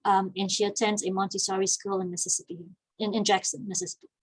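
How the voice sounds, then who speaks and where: A woman's voice: mid-range voice, level tone, fast, breathy edge, rough recording. A woman in her forties from Malaysia, a guest talking casually in an interview, chatty and laughing easily. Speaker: guest, business founder.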